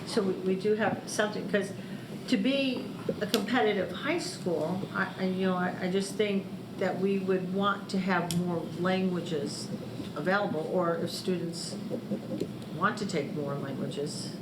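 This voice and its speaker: A woman's voice: on the low side, steady tone, measured pace. A middle-aged woman speaking as a board member in a public meeting discussion. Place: large meeting room. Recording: echoey room with clear background noise.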